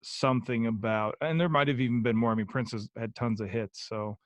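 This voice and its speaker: A man's voice: medium pitch, steady tone, a little fast, no echo. A young man chatting as a podcast guest, rambling with many ums and restarts. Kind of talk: casual interview chat.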